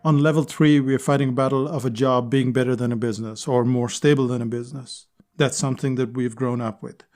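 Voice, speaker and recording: A man's voice: mid-range voice, fairly even intonation, brisk, a little mellow. A man hosting a solo podcast, talking in an earnest, conversational way and repeating phrases for emphasis. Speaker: podcast host.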